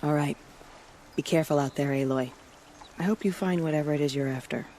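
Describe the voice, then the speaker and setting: Male actor as weary warrior: somewhat high voice, measured and wary, game dialogue over faint ambience. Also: warm tone, a little hiss or hum, natural voice. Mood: somber.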